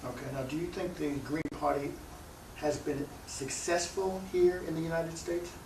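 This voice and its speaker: A man's voice: fairly high pitch, normal speed, a little mellow. A man working as an interviewer, putting questions to a guest. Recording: roomy, distant mic, faint noise.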